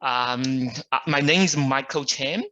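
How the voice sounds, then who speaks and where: A man's voice: mid-range voice, unhurried, bright, forceful tone, slight background noise. A man from China with a Mandarin accent, his first language, introducing himself as a returning guest at an online club meeting in a hesitant way, with many fillers. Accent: Mandarin Chinese. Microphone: video call.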